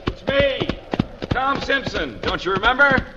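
A man's voice: extremely high pitch, lively intonation, a little slow, noisy background. An eager young American country boy in a radio western. His polite, naive voice bounces up and down, on a dull old recording.

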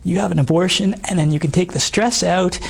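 Middle-aged man, badly husky and hoarse, earnest interview guest telling his story haltingly. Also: sits high. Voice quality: hoarse voice from old vocal damage. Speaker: interview guest, former stage singer. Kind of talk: personal testimony.

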